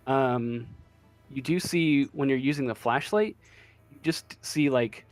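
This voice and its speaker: A man running a tabletop game as game master, narrating off the cuff and halting as he searches for words. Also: mid-range voice, dry recording, faint music behind.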